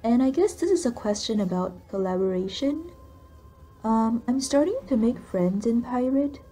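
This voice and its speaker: A soft-voiced young woman talks through viewer questions at her computer, easygoing and unhurried. Her tone is mellow and a little breathy. She is recorded on the built-in microphone of her computer. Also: fairly high pitch. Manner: soft-spoken, relaxed, with frequent ums and likes. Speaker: gaming channel creator.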